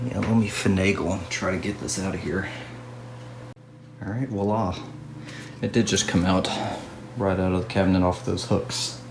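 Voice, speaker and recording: Man, very low voice, casual hobbyist narration, unhurried, thinking out loud, clearly noisy home recording. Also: fairly even intonation. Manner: unhurried, musing aloud to himself. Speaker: hobbyist radio restorer. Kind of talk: casual hands-on restoration video narration.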